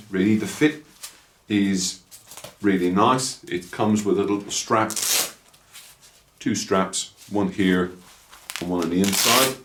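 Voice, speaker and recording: A man's voice: on the low side, slight background noise. A middle-aged Irish man in his fifties, chatting casually as he reviews motorcycle gloves at home, rambling with dry asides. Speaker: motorcycle vlogger. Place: small room at home, indoors. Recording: echoey small room.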